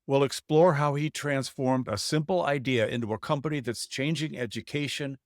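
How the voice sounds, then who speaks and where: A man's voice: mid-range voice, normal speed, no echo, clean studio sound. A man hosting a startup podcast, reading a polished intro and then chatting casually with his guest. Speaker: podcast host. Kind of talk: scripted intro then casual interview chat.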